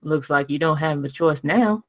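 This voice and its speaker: A woman's voice: deep voice, moderate pace, natural voice. A woman playing a brash, foul-mouthed young mechanic in a screenplay table read, casual, sarcastic and blunt. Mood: teasing, blunt.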